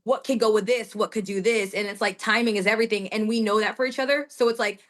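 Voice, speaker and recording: A young woman from the United States chats through an interview in a relaxed, easy way. She laughs often and fills her sentences with "like" as she goes. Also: medium pitch, fast talker. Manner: chatty, laughing, many fillers like "like". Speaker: guest wrestler. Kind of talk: casual interview chat.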